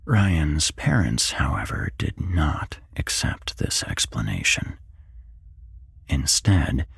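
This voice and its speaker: A man reading a horror story as whispered ASMR narration, hushed and deliberate, close to the microphone. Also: a bass voice, gravelly, slightly rough, no echo. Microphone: close microphone, dry.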